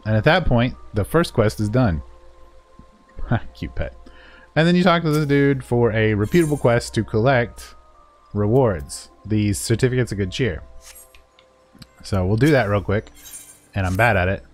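Low-voiced man, casual game guide. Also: a little slow, long pauses, faint music behind. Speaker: gaming video creator and guide narrator. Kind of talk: casual, unscripted game walkthrough commentary.

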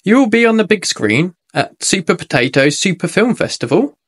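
A man voicing a kind female gazelle teacher in a children's story, speaking in a gentle, encouraging way. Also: high-pitched, very animated, moderate pace, breathy edge, heavily acted.

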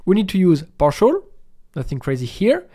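A man's voice: high voice, wildly swooping pitch, moderate pace, warm tone. A middle-aged man presenting a programming screencast, explaining each step in an instructional way.

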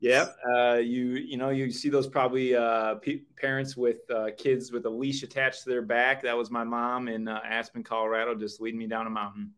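A man with a Chicago accent introducing himself as a guest on a video-call webinar, friendly and relaxed. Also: medium pitch, moderate pace, hardly any pauses, smooth. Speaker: company vice president, webinar guest.

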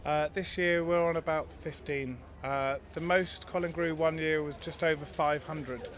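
A man in his thirties answers questions at a stall under a marquee, his voice sitting a little high for a man. He explains in a friendly, easygoing way, sometimes restarting his sentences. The sound comes through a handheld mic at an outdoor show. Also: steady tone, measured pace, a bit bright. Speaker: garlic farm stall worker. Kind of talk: casual interview answers. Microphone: handheld mic held by the interviewer.

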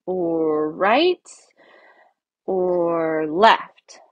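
A woman's voice: fairly low pitch, very slow, no room sound, highs cut off. A woman voicing an eager young boy bunny in a children's storybook, perky and full of puzzled questions. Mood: pondering. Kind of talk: children's storybook read-aloud.

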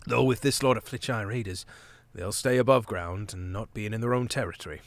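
A man voicing a streetwise male mouse thief with a low, gruff, colloquial manner. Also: brisk, dry recording, close to an everyday voice. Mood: warning.

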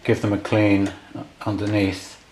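A man's voice: lowish voice, completely flat delivery, unhurried, a little mellow, slight background noise. A middle-aged man thinking aloud in a casual, matter-of-fact hands-on tutorial while working at a bench. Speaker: repair hobbyist demonstrating a restoration. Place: indoors at a repair workbench. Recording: roomy, clear handling clatter and clicks.

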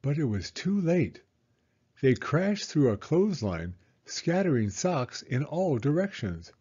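Unhurried, animated male storybook reader. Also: a little high, breathy edge, dull audio. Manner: expressive, deliberate phrasing for children. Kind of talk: scripted storybook read-aloud narration.

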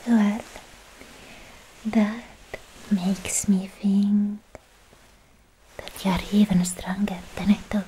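A young woman playing a lovestruck, bashful anime swordswoman in a coy, hesitant, breathy whisper for an ASMR roleplay, close to the microphone. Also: medium pitch, level tone, very slow, pauses often, dark tone, a little hiss or hum, rough recording. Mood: tender, admiring. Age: young adult.

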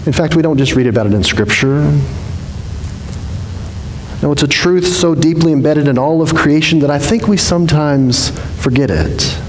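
A man in his forties, a pastor giving a sermon from a pulpit in a church sanctuary, speaking earnestly and slowing down for emphasis. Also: on the high side, expressive. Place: large church sanctuary, indoors. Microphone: headset mic at a pulpit. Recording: echoey hall reverb, faint hiss.

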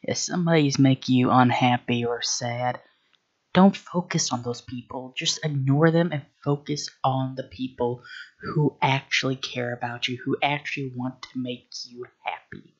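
A man's voice: medium pitch, steady tone, moderate pace. Laid-back guy with a dull lo-fi sound. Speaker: reaction channel host. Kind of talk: casual commentary.

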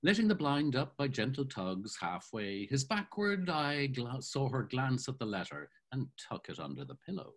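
An older man narrating a novel in a savoring, expressive reading style over a video call. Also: mid-range voice, moderate pace, hardly any pauses. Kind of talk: dramatic literary reading. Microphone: video call webcam.

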